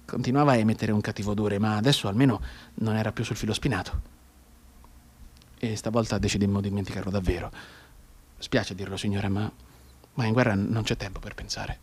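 An actor playing a soldier telling a dead comrade's mother about war, in a theatrical, repetitive radio monologue that builds from hushed asides to outbursts. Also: lowish voice, steady tone, a little fast, long pauses, slightly rough. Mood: grave, apologetic.

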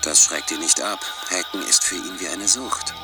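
Ein Schauspieler spielt in einem deutschen Hörspiel einen sachlich berichtenden Chronisten. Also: male voice, deep voice, normal speed. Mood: matter-of-fact. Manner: matter-of-fact. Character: male chronicler recounting a hacker's life matter-of-factly. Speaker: narrator. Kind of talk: scripted radio drama dialogue, recounting. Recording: loud music behind the voice.

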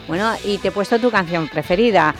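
A woman hosting a radio interview, asking brisk, inquisitive questions. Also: mid-range voice, music in the background. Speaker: radio host.

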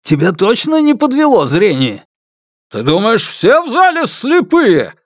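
A man voicing a furious, desperate father in an audiobook, shouting hysterical accusations. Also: fairly high pitch, lively intonation, slow, no echo, clean studio sound, pitched above the performer's own voice. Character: enraged, desperate father hunting his missing daughter. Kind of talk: audiobook character acting.

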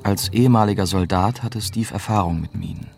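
A man's voice: low voice, brisk, gravelly, a little mellow, soft background music. An actor playing a young German journalist, weary and shaken, who narrates his war memories reflectively in a radio drama. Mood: grave, shaken. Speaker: narrator and lead character.

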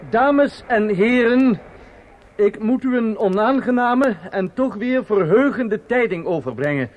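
A man's voice: sits high, expressive, unhurried, dull audio. An actor in a radio drama playing a flustered, self-satisfied male opera impresario, muttering and hesitant or pompously speechifying.

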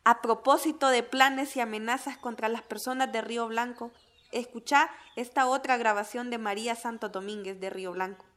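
A woman's voice: fairly high pitch, fairly even intonation, normal speed, fairly bright tone, close to an everyday voice. A woman with a Honduran accent playing an earnest activist who presents testimonies in a radio drama. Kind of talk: scripted radio drama dialogue. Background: music.

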